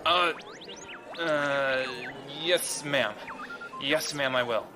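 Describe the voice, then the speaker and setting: An actor playing a nervous, clumsy young rookie gunner who stammers and apologises, in an audio drama. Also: male voice, on the high side, very slow, music in the background. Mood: flustered.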